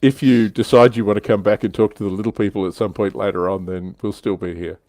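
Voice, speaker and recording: A man with a fairly low voice, recorded close and dry with little room sound. He hosts a casual hobby livestream, chatting with a wry, teasing edge. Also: steady tone, quick pace, darkish tone, no echo. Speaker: livestream host.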